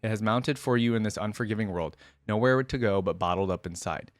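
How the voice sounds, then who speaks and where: A man voicing a cultured, soft-spoken immortal aristocrat in a storybook reading, with a languid, silken manner. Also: fairly low pitch, a little fast, dry recording, clean studio sound, close to an everyday voice. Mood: hypnotic, grave.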